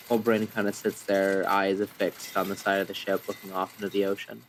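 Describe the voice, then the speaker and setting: A man's voice: deep voice, flat delivery, brisk, a little hiss or hum, poor audio. A man playing a tabletop game, improvising descriptive narration of what his character does.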